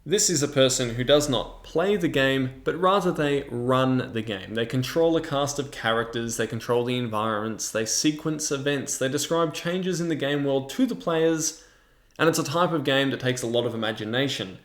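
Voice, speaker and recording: A man presents a review as the host, explaining things in a measured way with mid-range pitch. His narration sounds semi-scripted, and the room adds a clear echo around his voice. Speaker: host of a tabletop game review channel. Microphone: large microphone in front of him. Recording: echoey, reverberant room.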